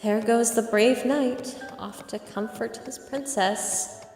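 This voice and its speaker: A woman's voice: a little high, fairly even intonation, slow, darkish tone. A woman voicing a worried, kind young female unicorn pony in an amateur fan fiction audio drama. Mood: fond amusement. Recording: roomy echo with reverb, music behind.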